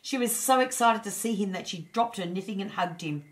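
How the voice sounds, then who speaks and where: A woman's voice: mid-range voice, brisk. A woman storyteller narrating a children's storybook.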